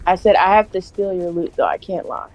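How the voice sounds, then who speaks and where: A young woman from South Carolina chatting over an online voice call while gaming, relaxed and a little guarded. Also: on the low side, fairly quick, poor audio. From South Carolina, USA. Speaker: guest gamer. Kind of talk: casual chat over voice call.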